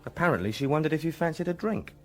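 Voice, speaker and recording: An actor playing a loyal, cheerful police sergeant, a deferential and gently teasing sidekick in a radio drama. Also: male voice, a little high, animated, fast talker, soft background music. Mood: amused.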